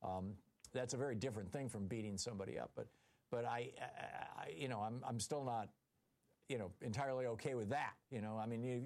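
A man's voice: mid-range voice, gravelly, a little mellow. An older man hosting a call-in radio show, talking conversationally and repeating words as he thinks. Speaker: radio talk show host.

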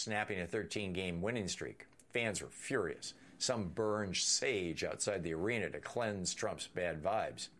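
A man's voice: on the low side, a bit bright. Emphatic man hosting talk radio. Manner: emphatic, punchy commentary. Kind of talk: political talk radio monologue and call-in. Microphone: studio microphone.

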